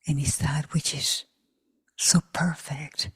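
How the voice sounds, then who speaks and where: Hushed, very slow breathy woman guiding meditation. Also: sits low, level tone, no echo. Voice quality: breathy, husky, near whisper. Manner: hushed, unhurried, long pauses between short phrases. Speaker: meditation teacher. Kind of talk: guided meditation for a group.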